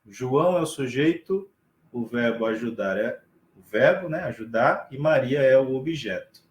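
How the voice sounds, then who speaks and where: A man's voice: mid-range voice, normal speed, roomy sound, a little hiss or hum. Chatty man teaching online. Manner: relaxed explaining with frequent check-ins to students. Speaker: sign language teacher. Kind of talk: online class lecture.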